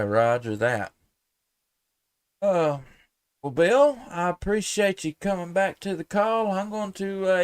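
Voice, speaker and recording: An American man chatting casually as an amateur radio host, in a folksy, easygoing way with thinking pauses. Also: a little high, vocal fry, clear, resonant tone, no room sound. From United States. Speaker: amateur radio operator, live stream host. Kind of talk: casual radio contact chat.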